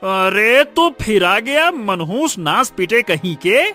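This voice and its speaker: A woman voicing a blustering, quick-tempered male village headman in a storybook tale. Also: mid-range voice, lively intonation, bright, forceful tone, soft background music. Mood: angry.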